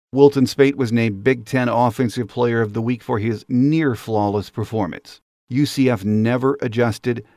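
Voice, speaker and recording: A man's voice: medium pitch, a little mellow, no echo, studio quality. An American man speaking as a podcast host, delivering a scripted sports monologue.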